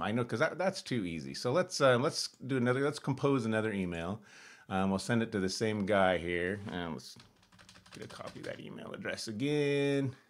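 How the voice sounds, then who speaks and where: An American man in his fifties giving a casual tutorial, thinking aloud as he narrates each step, recorded in a small room at home. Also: fairly low pitch. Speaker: host of an amateur radio tutorial. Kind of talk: casual screen-share demonstration.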